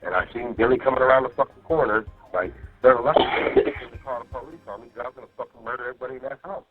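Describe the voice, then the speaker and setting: A man's voice: medium pitch, racing. A man talking casually and profanely on a phone call, rambling and often repeating himself to check he is understood. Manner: rambling, repeats himself with "you know what I mean". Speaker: jail inmate on a phone call. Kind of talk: casual, profane venting to a partner. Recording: muffled jail phone line, faint music.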